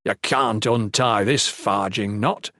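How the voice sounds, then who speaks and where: Man voicing a gruff, folksy old sailor priest. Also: medium pitch, normal speed, no room sound, clean studio sound, natural voice. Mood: proud, grumbling. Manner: uneducated folksy grammar, bossy. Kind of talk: audiobook character voice.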